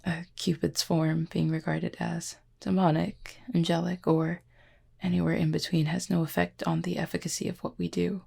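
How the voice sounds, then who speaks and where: A woman playing an injured fallen cupid in an intimate roleplay audio, soft and breathy, with a formal, measured, dryly aloof but curious manner. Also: on the low side, flat delivery, full character voice. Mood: formal, composed. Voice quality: soft, breathy, husky with fry. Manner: measured, formal, wordy phrasing.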